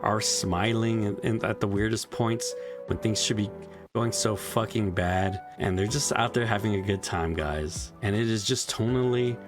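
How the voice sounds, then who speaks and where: A man's voice: fairly low pitch, moderate pace, over music. A young man giving a casual spoken game review, frustrated and profane, with many "like" fillers.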